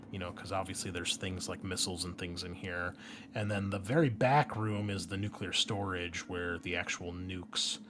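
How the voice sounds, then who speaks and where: A low-pitched man acts as game master, describing the scene in loose, conversational narration. There is steady ambient noise around his voice. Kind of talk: improvised narration of a tabletop game. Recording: clear background noise and ambience.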